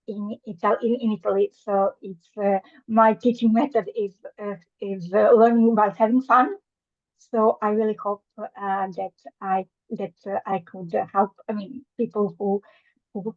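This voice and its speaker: A woman's voice: mid-range voice, darkish tone, no echo, dull audio. Hesitant Serbian-accented woman on a call. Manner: hesitant, many fillers and restarts. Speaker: course coordinator. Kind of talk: online meeting presentation.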